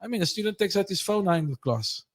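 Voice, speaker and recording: A man's voice: high voice, fast. A man hosting a podcast, telling a story with energy and acting out the lines of the people in it. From not Egyptian. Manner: animated storyteller, acts out quoted lines. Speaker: podcast host. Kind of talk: casual conversation.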